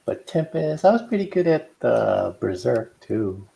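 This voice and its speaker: A man's voice: mid-range voice, very animated, a little slow, creaky, mellow. A middle-aged man chatting casually and informally. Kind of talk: casual chat.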